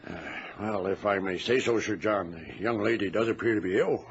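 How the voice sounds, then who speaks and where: An actor playing a seasoned sea captain in a radio drama, courteous and deliberate yet commanding. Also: male voice, on the low side, lightly acted. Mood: courteous, wary. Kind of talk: old-time radio drama acting. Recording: muffled lo-fi radio recording with hiss.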